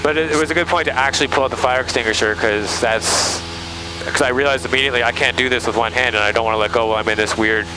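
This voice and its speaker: A man's voice: mid-range voice, steady tone, fast talker. A man flying a small plane in training, informally narrating each step aloud through a headset. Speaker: pilot being trained. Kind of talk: thinking aloud while flying. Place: small airplane cockpit in flight. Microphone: aviation headset. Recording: loud cockpit engine noise, aviation headset intercom.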